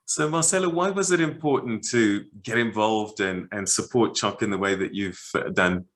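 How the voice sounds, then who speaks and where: A man in his fifties hosting a charity webinar over a video call, speaking warmly and halting often with fillers. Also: on the low side. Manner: warm, halting with many uh fillers. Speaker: webinar host. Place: studio set, indoors.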